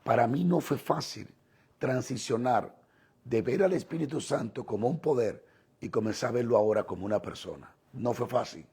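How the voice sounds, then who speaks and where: A man preaches with a Dominican Spanish accent in a heavily rasping, mellow voice. He teaches in short, deliberate phrases, pausing and repeating for emphasis, with a gravelly fry running through his delivery. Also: mid-range voice. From Dominican Republic. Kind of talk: sermon, teaching on scripture.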